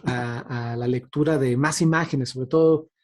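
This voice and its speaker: A man's voice: mid-range voice, steady tone, a little slow. A man giving an informal academic talk, speaking thoughtfully and hesitantly and often checking for agreement. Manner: hesitant, thoughtful, frequently seeking agreement with tags. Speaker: guest speaker giving a talk on radio drama.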